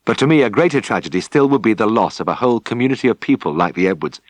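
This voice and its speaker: A British man narrates a memoir in a low voice, recorded close and dry. His delivery is measured and expressive, like a practised audiobook reader. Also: no room sound.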